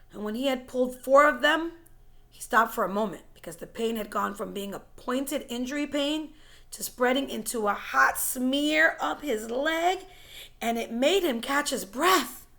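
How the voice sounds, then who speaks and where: A woman reading a story aloud in a dramatic, suspenseful way, moving between hushed whispers and forceful, louder phrases. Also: a little high. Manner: suspenseful and expressive, dropping to whispers and rising to loud, forceful phrases. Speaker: teacher reading a book chapter aloud.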